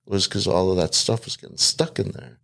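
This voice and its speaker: A man's voice: deep voice, fairly quick, gravelly. A man guest who sounds congested, telling stories in a casual chat and laughing often. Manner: laughs a lot, animated storytelling. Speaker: guest, cousin.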